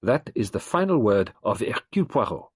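A man's voice: on the low side, big pitch swings, moderate pace, husky, no room sound, studio quality, voice pushed up. A man reading the part of a stern, precise elderly male detective who speaks formally and insistently.

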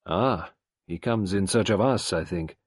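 A man voicing a grave, cynical middle-aged French doctor in a storybook reading, deliberate and world-weary. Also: sits low, breathy edge, no room sound, studio quality, full character voice. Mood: gentle, grave.

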